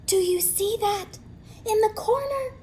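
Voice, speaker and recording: A woman voicing an eager, imaginative young girl in a storybook, with bright, excited delivery. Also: very high-pitched, unhurried, slight background noise, pitched above the performer's own voice. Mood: thrilled.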